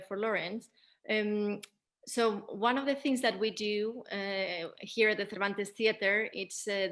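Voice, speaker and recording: A woman moderating a post-show theatre Q&A as host, speaking in halting, repetitive phrases. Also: mid-range voice, a bit bright.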